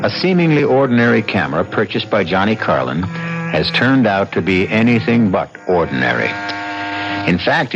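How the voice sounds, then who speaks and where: Low-voiced, dramatic male radio mystery host. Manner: grandiloquent, dramatic. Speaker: host and narrator. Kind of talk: 1970s radio mystery scripted narration. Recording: dull old radio broadcast. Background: music.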